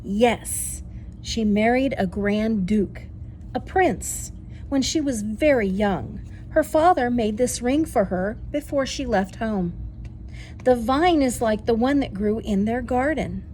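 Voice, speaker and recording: A woman voicing a tender, nostalgic elderly great aunt in an expressive children's storybook reading. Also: on the high side, takes it slowly, slight background noise, low-quality recording. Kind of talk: children's storybook read-aloud.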